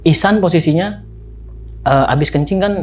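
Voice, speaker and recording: Animated Betawi man telling a story. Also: a little high, normal speed, a little hiss or hum. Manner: animated, slangy, acts out quotes, laughs often. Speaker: podcast guest storyteller. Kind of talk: casual spoken storytelling.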